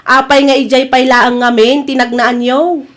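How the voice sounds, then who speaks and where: Woman acting a high-voiced, fussy Ilocano daughter. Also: little pitch movement, moderate pace, a bit bright. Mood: disapproving. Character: judgmental, fussy grown daughter, anxious and snobbish. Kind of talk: comedy-drama dialogue.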